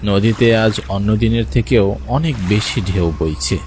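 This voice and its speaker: A man's voice: fairly low pitch, a little slow, darkish tone, slight background noise, close to an everyday voice. A man playing a poor, hardworking village fisherman who tells his own cartoon story. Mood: plain storytelling. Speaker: narrator and lead character. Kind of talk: first-person cartoon story narration with acted dialogue.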